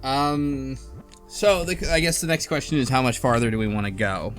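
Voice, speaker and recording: A man's voice: mid-range voice, moderate pace, faint music behind. A man hosting a casual podcast discussion, talking in a hesitant, think-aloud way. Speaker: podcast host.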